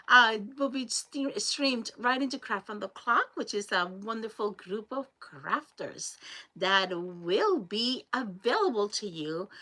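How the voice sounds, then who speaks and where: A woman from Colombia with a Colombian Spanish accent, hosting a live crafting stream and chatting with viewers as they join. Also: on the high side. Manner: chatty, greets viewers by name, warm thanks. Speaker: crafting livestream host.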